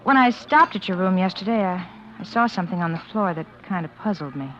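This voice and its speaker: A woman's voice: lowish voice, lively intonation, fairly quick, lightly acted. An actress playing a devoted, persistent American girlfriend in a 1940s radio drama, coaxing, teasing and earnest. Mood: puzzled. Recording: muffled, noisy 1940s radio recording.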